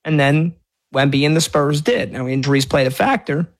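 High-voiced man hosting sports radio. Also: moderate pace. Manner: argumentative, fires off rhetorical questions.

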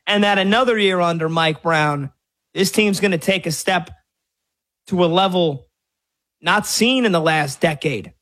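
A man's voice: sits high, a little slow, a bit bright. A man hosting a sports talk radio show, arguing his point through a stream of rhetorical questions. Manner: argumentative, fires off rhetorical questions.

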